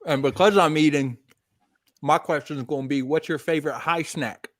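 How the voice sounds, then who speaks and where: A man's voice: fairly high pitch, fairly even intonation, normal speed. Dry-witted man co-hosting a podcast. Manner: dry, wry teasing. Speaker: podcast co-host. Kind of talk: casual comedic chat.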